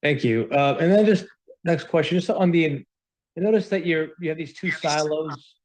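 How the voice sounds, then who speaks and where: A man's voice: on the high side, normal speed, a little hiss or hum, rough recording. A man speaking as a financial analyst, asking a question politely and tentatively on an earnings call.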